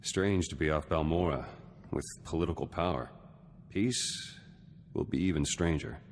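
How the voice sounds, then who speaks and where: Deep, clipped, distrustful war veteran. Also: male voice, normal speed, long reverb tail, a little hiss or hum. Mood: guarded, terse. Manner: clipped, terse fragments. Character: gruff, distrustful male war veteran, terse and efficient.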